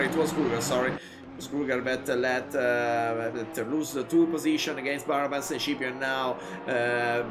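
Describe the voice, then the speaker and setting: A man from Italy with an Italian accent, calling a live sim race in halting English with many fillers and excited jumps. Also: fairly high pitch, heavy background noise. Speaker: race commentator. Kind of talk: live sim racing commentary.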